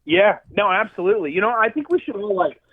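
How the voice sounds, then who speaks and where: A man who directs films, a guest telling stories in a casual interview, animated and swearing freely. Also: high-pitched, fast, husky, no echo. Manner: animated, profane storyteller. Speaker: film director and effects artist, guest. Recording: dry tinny phone-like line.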